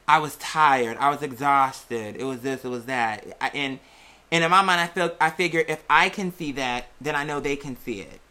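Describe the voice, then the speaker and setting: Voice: medium pitch, normal speed, bright, forceful tone, clear, resonant tone. An American content creator chatting casually and candidly, rambling and repeating phrases for emphasis. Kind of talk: casual personal chat.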